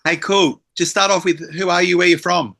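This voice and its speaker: A man's voice: high voice, fairly even intonation, fairly quick, fairly bright tone. A man hosting a podcast interview, upbeat and friendly as he asks questions. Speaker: podcast host.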